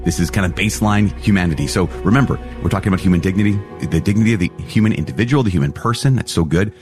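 A man's voice: low voice, racing, over music. A man, a priest hosting a daily teaching show, talking conversationally and rephrasing his thoughts aloud. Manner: animated, thinks aloud and rephrases mid-sentence. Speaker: priest and podcast host.

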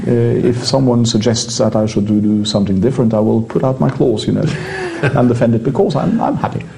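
A Norwegian-accented man with a mellow, warm voice at a middling pitch. He explains things in a relaxed, chatty way, often doubling up his words and breaking into the odd laugh, and he sounds like a priest being interviewed. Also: fairly quick, gravelly, slight background noise.

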